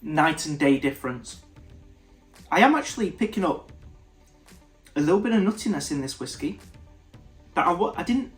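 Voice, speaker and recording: A young man reviews whisky in a relaxed, thinking-aloud way, breaking off and restarting his sentences. His voice sits a little high for a man and sounds nasal and blocked up. Soft music plays underneath. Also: moderate pace, long pauses. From United Kingdom. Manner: thoughtful, stops and restarts mid-sentence. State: nasal, congested. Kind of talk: casual tasting review to camera.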